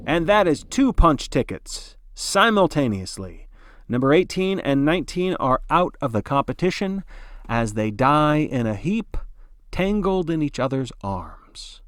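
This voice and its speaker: A man's voice: fairly high pitch, sing-song, unhurried, fairly bright tone, dry recording, clean studio sound. A man narrating like a sports broadcaster, giving dramatic play-by-play in a theatrical, folksy announcer style.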